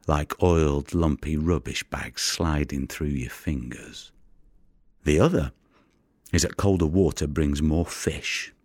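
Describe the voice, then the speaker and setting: An actor playing a haunted, weary fisherman in an audio drama monologue, with a gravelly, breathy voice and a hushed, brooding delivery. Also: a bass voice, no echo, clean studio sound, full character voice. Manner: hushed, deliberate, brooding storytelling. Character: haunted, weary Mediterranean fisherman who recovers drowned bodies.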